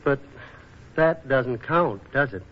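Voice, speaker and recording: Bashful, swooping 1940s radio romantic lead. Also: male voice, mid-range voice, expressive, slow. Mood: crestfallen, confessing. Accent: American. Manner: stammering, eager, boyish. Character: bashful, lovestruck young man posing as a shipwrecked sailor. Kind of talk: 1940s radio drama acting. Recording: muffled old radio recording.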